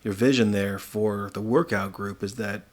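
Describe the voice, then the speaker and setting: A man with a fairly low voice hosts a podcast. He speaks in a casual, conversational way, in the style of an informal interview. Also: steady tone, normal speed.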